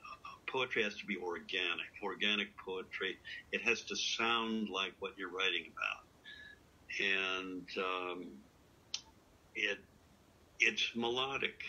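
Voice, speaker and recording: Deep-voiced man, slow and halting, bright but husky tone; poet guest in casual interview. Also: rough recording. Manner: halting, many uh fillers, thoughtful.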